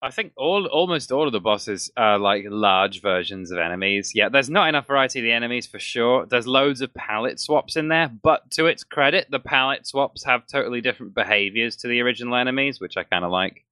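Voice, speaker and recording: A man's voice: medium pitch, lively intonation, brisk, hardly any pauses, sharp tone, no echo. A man talking enthusiastically and at length in a casual gaming chat as a podcast co-host. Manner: enthusiastic, rambling.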